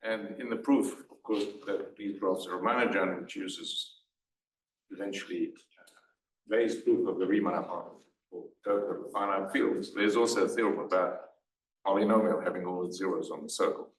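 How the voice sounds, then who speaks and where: Lower-voiced audience man, loud, wry, heard from far off in an echoey hall. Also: moderate pace. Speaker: audience member asking. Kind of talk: question from the audience. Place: lecture hall. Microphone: far from the mic.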